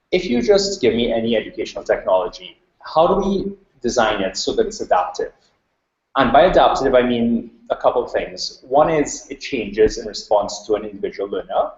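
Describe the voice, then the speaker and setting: A man's voice: mid-range voice, a little mellow. A man with a Trinidadian accent giving an academic talk, flowing along with many ums. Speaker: invited speaker, researcher. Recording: roomy, dull lo-fi recording.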